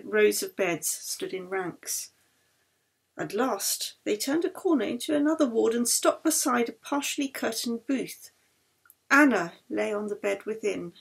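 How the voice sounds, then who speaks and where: A woman reading a novel aloud as its author, in an expressive storytelling manner that brings the dialogue to life. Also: mid-range voice, creaky, slightly rough. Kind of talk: scripted narration, audiobook reading.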